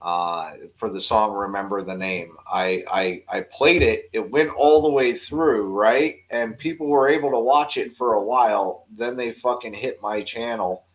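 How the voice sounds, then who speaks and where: A man's voice: lowish voice, a little slow, hardly any pauses, low-quality recording. A man chatting casually on a livestream panel, loose and swearing, laughing easily.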